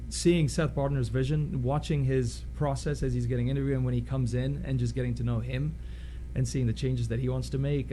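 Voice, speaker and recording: A man in his thirties with a mixed international accent that does not belong to one place. He tells his story in a frank, animated way with quick asides, and his voice is dark and mellow. A faint hum sits under the radio studio sound. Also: mid-range voice. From Poland. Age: 30s. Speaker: women's soccer head coach.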